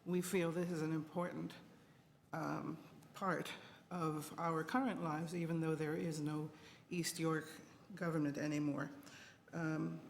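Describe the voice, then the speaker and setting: A woman giving a measured, deliberate public statement at a committee meeting as a residents' representative. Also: on the low side. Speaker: residents' association co-president speaking at a committee. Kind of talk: public deputation. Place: indoor committee meeting room. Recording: background noise in meeting room.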